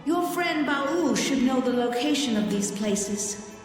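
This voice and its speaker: A woman's voice: sits high, animated, slow, faint music behind. A woman voicing a solemn, regal water spirit in a video game, formal and stately, with ethereal reverb. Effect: long ethereal reverb. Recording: heavy echo, long reverb.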